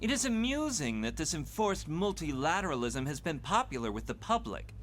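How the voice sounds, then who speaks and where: A man's voice: on the high side, sing-song, normal speed, fairly bright tone, a little hiss or hum. An actor voicing an arrogant, impatient young male mutant leader in a motion comic, fussy and sarcastic. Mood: pompous. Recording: prominent music behind the voice.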